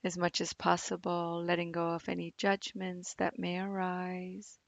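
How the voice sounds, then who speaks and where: A woman meditation teacher leading a guided practice in a gentle, soothing manner, leaving long pauses between phrases. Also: fairly low pitch, completely flat delivery, creaky, no echo. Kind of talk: guided body scan meditation.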